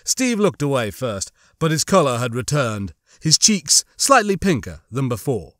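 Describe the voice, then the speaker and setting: A man narrating a suspense novel as an audiobook, with measured, dramatic storytelling. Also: medium pitch, big pitch swings, a bit bright, no room sound, studio quality. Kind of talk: scripted dramatic fiction narration.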